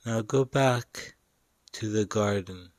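Man, meditation guide, extremely slow pace, lowish pitch, deliberate short phrases, long pauses. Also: dry recording.

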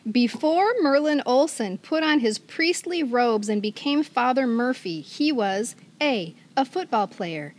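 High-voiced middle-aged woman podcast host. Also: a little slow, hardly any pauses. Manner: playful, animated, jokey. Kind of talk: playful casual podcast chat.

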